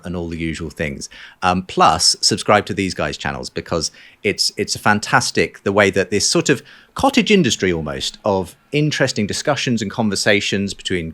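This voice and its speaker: A man with a British accent and a low-pitched voice hosts a discussion show. He speaks in a conversational presenting style, addressing guests and audience from an indoor room. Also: fairly bright tone. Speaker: show host. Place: room in a college with an open window.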